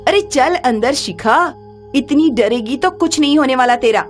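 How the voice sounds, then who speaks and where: A woman's voice: high voice, lively intonation, unhurried, faint music behind. A woman voicing a bold, curious young museum worker in a horror story, impatient and teasing. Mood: excited, curious. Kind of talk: animated horror story character acting.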